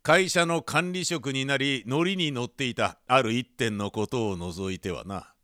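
An actor playing a gloomy, earnest office manager turned prisoner, telling his story with deadpan seriousness in a comedic radio drama. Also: medium pitch, measured pace. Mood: grave, confiding. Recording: music under the voice.

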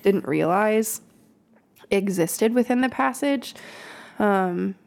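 A woman who serves as a children's pastor leads an informal Bible study on a podcast. She talks in a relaxed, rambling way, with ums and little laughs. Her voice has a noticeable creak. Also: medium pitch, slow pace. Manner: chatty, laughing asides, frequent ums. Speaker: kids' pastor.

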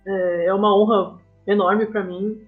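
A slightly muffled, lo-fi recording of a woman with a Brazilian accent and an average pitch. She talks casually as an interview guest, with faint music behind her. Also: level tone, unhurried. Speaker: comic artist, podcast guest. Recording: dull lo-fi sound, faint music.

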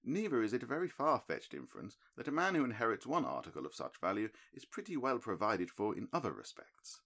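A man reading an audiobook, voicing a calm, analytical middle-aged male detective who explains his reasoning in a crisp, precise, lecturing manner. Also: medium pitch, fairly quick, voice pushed up, heavily acted. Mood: explaining.

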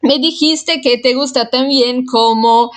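A woman talks in a casual, friendly way, her voice sitting a touch high. She speaks a she is still learning, so she often pauses and corrects herself mid-word. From Italy. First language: Italian. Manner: chatty, hesitant with frequent fillers and self-corrections. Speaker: vlogger answering a viewer question. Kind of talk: casual chat.

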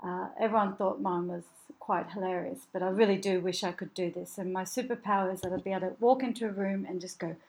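A woman's voice: medium pitch, fairly even intonation, quick pace, darkish tone. Laughing woman shivering in the cold. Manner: laughing, self-deprecating, storytelling. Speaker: podcast co-host. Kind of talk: casual chat. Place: cold apartment full of moving boxes.

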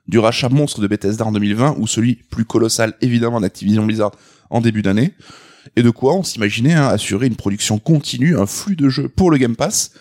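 A man with a cold, talking through a stuffy nose in a hoarse voice, presenting a video game news segment on a podcast. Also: fairly low pitch, a little mellow. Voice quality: hoarse, husky. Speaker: co-host, columnist.

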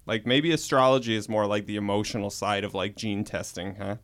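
A man talking casually as a podcast guest, speaking hesitantly with frequent pauses and restarts as he works through his thoughts. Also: on the low side, steady tone, a bit bright, clear, resonant tone. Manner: hesitant, many fillers and restarts. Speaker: podcast guest, host's husband. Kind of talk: casual conversation.

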